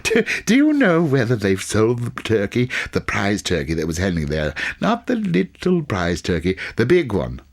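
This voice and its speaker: A man's voice: lowish voice, huge pitch swings, normal speed, hardly any pauses, exaggerated voice. A man voicing an old, repentant miser in an audiobook, stammering and repeating himself in breathless exclamations. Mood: giddy delight. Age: older.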